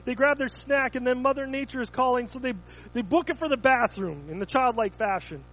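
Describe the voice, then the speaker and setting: A man with a notably high voice delivers a sermon built around simple stories. He talks in an informal, storytelling way, often tripping over his words and restarting a phrase. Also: a little fast, fairly bright tone, rough recording. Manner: storytelling, with frequent stumbling restarts. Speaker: preacher.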